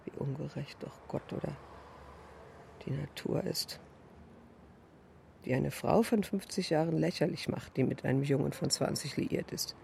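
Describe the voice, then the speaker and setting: An actress playing a melancholic woman of fifty in a dramatic radio monologue, clinging to youth yet resigned, with drawn-out, expressive phrasing. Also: low voice, gravelly, a little hiss or hum, exaggerated voice. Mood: bitter, wistful.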